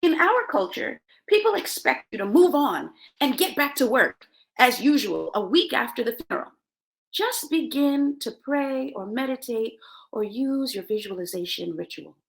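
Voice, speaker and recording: Woman, high voice, creaky edges, motivational self-help host, emphatic storyteller, from Jamaica. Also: normal speed, rough recording. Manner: emphatic, expressive, repeats key words for effect. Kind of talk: motivational talk with personal storytelling.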